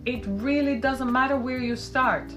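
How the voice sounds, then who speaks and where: A woman's voice: high-pitched, measured pace, over music. A woman with a British Caribbean accent hosting a podcast, speaking with the emphatic delivery of a public speaker and tagging her points with "right?". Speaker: podcast host. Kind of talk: live-stream commentary reading viewer comments.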